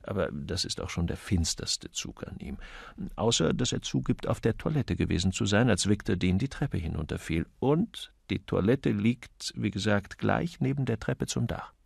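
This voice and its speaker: A man's voice: fairly low pitch, animated, normal speed, vocal fry, breathy edge, no echo, studio quality, full character voice. A man voicing a witty, flippant upper-class amateur detective in an audiobook, chatty, wry and urbane. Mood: ironic, wry.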